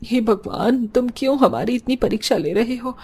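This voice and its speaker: Cartoon role woman performing: older mother-in-law, long-suffering, protective, earnest lament, fairly high voice. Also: animated, moderate pace, a little mellow, breathy edge.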